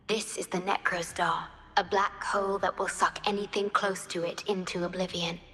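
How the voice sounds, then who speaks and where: A composed, protective woman researcher from a video game. She speaks precisely and formally in a bright voice. The sound is echoey, with music behind her. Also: lowish voice. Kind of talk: scripted game character acting.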